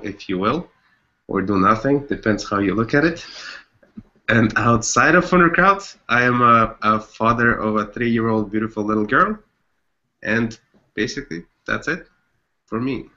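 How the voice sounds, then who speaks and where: Bright, low-voiced man, slightly muffled. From Canada. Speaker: front-end developer presenting a project. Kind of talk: informal online presentation.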